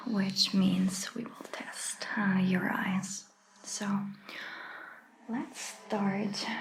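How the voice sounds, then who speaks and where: Woman playing a very slow, whispering vampire doctor. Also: mid-range voice, roomy sound, a little hiss or hum, poor audio. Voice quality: breathy, whispered. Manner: slow, soothing, reassuring, instructing. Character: gentle, attentive female vampire doctor examining a patient. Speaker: ASMR performer. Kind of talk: soft-spoken ASMR roleplay.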